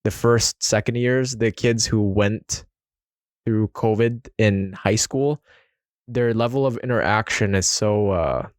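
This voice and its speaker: A young man born in the Philippines hosts a podcast in a relaxed way, asking questions and dropping in lots of "yeah". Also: lowish voice, slow pace, no room sound, clean studio sound. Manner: relaxed, frequent "yeah" backchannels. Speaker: podcast host. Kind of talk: casual conversational interview.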